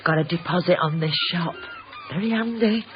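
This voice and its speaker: An actress playing an earthy, chirpy chip-shop wife in a radio drama, matey and colloquial. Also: female voice, lowish voice, normal speed, highs cut off, background noise, lightly acted.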